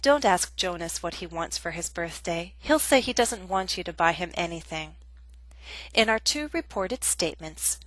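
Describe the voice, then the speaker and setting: A woman teaching an English grammar lesson in a clear, measured, scripted way. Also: mid-range voice, a bit bright, dry recording, rough recording.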